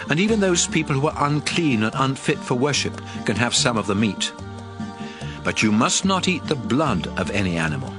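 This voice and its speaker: Biblical lawgiver, male, played by an actor: solemn, exhorting, measured, with animated mid-range delivery over faint music. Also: medium pitch, lightly acted. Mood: instructive. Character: male prophet lawgiver solemnly addressing his people. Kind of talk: dramatized scripture reading.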